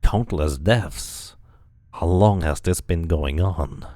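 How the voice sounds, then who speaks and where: A man's voice: deep voice, slow pace, mellow, no echo, pitched below the performer's own voice. A man voicing a weary, terse immortal wanderer with no memory, reading game dialogue in a grave, questioning manner. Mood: grim questioning.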